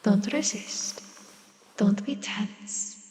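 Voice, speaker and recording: A woman's voice: medium pitch, darkish tone, husky. A woman playing a powerful, manipulative female vampire in an ASMR roleplay, seductive and condescending, slipping into whispers as she commands and coaxes. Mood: soothing, coaxing. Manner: slow, commanding, mock-sweet taunting with whispered words.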